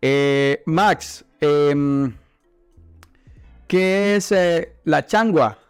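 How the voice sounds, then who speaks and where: Slow-talking man hosting a chat. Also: a little high, clear, resonant tone, no echo, soft background music. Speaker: interview host. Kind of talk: casual bilingual podcast interview chat.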